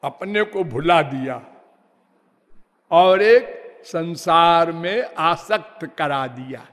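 Man preaching really slow, high-ish voice, big echoey hall. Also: animated, fairly bright tone. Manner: slow measured preaching, drawn-out words, half-sung phrases. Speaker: spiritual teacher. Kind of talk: devotional discourse. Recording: long hall reverb.

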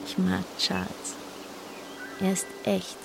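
A woman's voice: lowish voice, monotone, slow pace, vocal fry, background noise, full character voice. An actress playing a shy, lonely, grieving teenage schoolgirl in an introspective, hesitant inner monologue for a radio play. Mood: fond. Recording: music under the voice.

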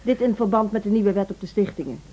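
Actress as mellow, officious female school director. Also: medium pitch, lo-fi sound, a little hiss or hum, lightly acted. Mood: formal, officious. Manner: formal, measured, officious. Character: formal, evasive, condescending female music school director. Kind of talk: Dutch radio drama acting.

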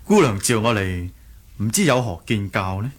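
An actor playing a polite, guarded young martial-arts hero, speaking in a measured way in an audio drama. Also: male voice, lowish voice, expressive. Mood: guarded, courteous. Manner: courteous, measured. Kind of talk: Cantonese audio drama acting.

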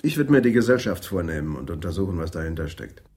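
An actor playing a brisk, probing male police detective in a radio crime drama, direct and businesslike. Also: sits low, very animated, loud music behind the voice. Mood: brisk, commanding. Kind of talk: 1980s German radio crime drama dialogue.

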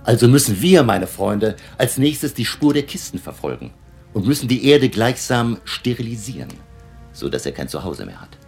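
An actor playing a grave, learned vampire hunter who lectures his allies deliberately and emphatically in a German radio drama. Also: medium pitch, sing-song, creaky, soft background music. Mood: grave, resolute.

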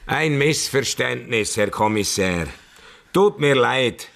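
An actor playing a courteous, composed writer in a radio crime drama, creaky, terse and polite. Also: male voice, medium pitch, animated, slow, fairly bright tone, heavily acted. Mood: apologetic. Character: courteous, composed male writer, a wine-loving host.

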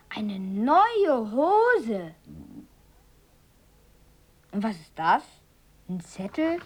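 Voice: medium pitch, a little mellow, exaggerated voice. An actor playing a cheeky, curious eleven-year-old boy in a radio drama. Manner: exclaiming, blunt, questioning.

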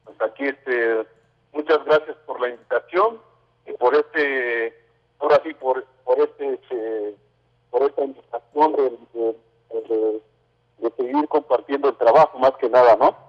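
A man's voice: mid-range voice, a little slow, in a large hall, lo-fi sound. A man from Oaxaca whose first language is Mazatec, talking as a guest over the phone, hesitant with many fillers. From Oaxaca, Mexico. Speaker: guest, visual artist. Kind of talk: phone interview. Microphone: telephone.

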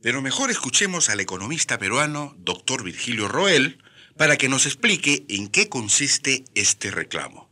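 Male radio co-host, Peruvian accent, hugely animated, bright and husky, chatty colloquial delivery. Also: mid-range voice, normal speed. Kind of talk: conversational scripted radio dialogue.

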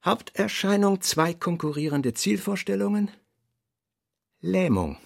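An actor playing a brooding middle-aged novelist in a literary radio play, deliberate and terse, with a husky voice. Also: male voice, sits high, expressive, no echo, clean studio sound, heavily acted. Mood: brooding, clipped. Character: brooding middle-aged male novelist reading out his working notes.

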